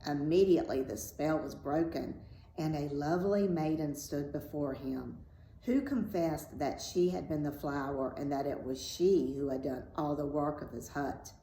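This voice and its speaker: Mellow woman reading a fairy tale, roomy. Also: low voice, normal speed. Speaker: storyteller reading aloud. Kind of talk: read-aloud fairy tale narration. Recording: roomy echo with faint background noise.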